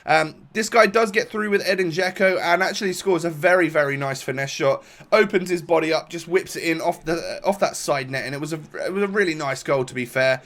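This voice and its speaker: A man's voice: fairly high pitch, a little fast, few pauses. A young man with a stuffy, congested voice, giving rambling casual commentary over his own football gameplay. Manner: rambling, relaxed, frequent fillers and restarts. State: congested from a cold. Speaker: gaming YouTuber. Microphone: desk microphone.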